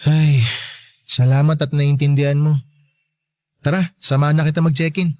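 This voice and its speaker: A man acting a gentle, hesitant young boyfriend. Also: on the high side, little pitch movement, normal speed, no room sound, clean studio sound, lightly acted. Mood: eager, light. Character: young boyfriend, apologetic, shy, eager to please. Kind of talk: radio drama acting.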